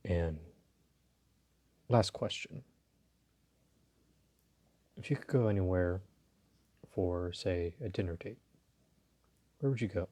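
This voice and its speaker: Audio roleplay casting: male actor as a low-pitched male crime boss, gentlemanly, dominant, flirtatious, unhurried. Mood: calm, probing. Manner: polite, unhurried, teasing, hesitant phrasing. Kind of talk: intimate audio roleplay addressed to the listener.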